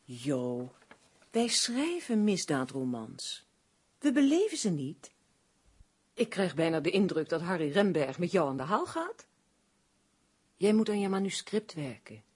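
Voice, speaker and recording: Sceptical female crime novelist in radio drama; husky, animated, bossy, no patience for nonsense. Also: fairly low pitch, heavily acted. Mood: impatient. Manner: dismissive, bossy, brisk. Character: sharp, sceptical woman co-writing crime novels with her partner.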